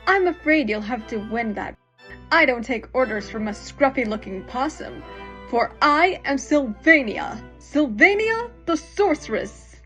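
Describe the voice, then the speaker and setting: A woman's voice: sits high, very animated, measured pace, fairly bright tone, soft background music, heavily acted. A woman playing a haughty, menacing sorceress villain in an audio drama, cold and mocking. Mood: haughty, threatening.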